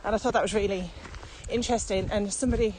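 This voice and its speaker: A woman talks casually as a podcast co-host, in a conversational style. Her voice sits at an average pitch, with some ambient noise faintly behind it. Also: quick pace, low-quality recording. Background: steady ambient noise.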